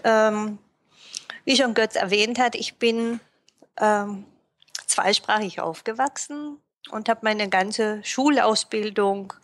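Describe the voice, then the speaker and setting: A woman speaking as a guest in a podcast interview, speaking thoughtfully with frequent pauses and fillers. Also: mid-range voice. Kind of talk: casual interview talk.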